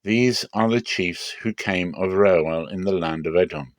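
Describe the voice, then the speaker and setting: A man reading scripture aloud dramatically, in measured and deliberate phrases with clear pauses. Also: low voice, no echo. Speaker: Bible reader.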